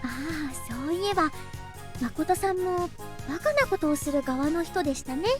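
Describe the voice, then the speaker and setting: A whimsical teenage schoolgirl from a visual novel, high and quick in her speech. She is polite and gently teasing, with an air of mystery, over prominent background music. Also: animated. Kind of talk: Japanese visual novel voice acting.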